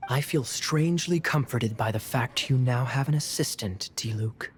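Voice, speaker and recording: An actor playing a suave young knight in a video game, smug, sly and playfully teasing. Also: male voice, medium pitch, moderate pace, over music, natural voice.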